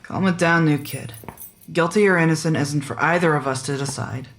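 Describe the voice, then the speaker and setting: A woman playing a gruff, jaded small-town sheriff in an audio drama, with a blunt, dry, world-weary manner. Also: sits low, moderate pace.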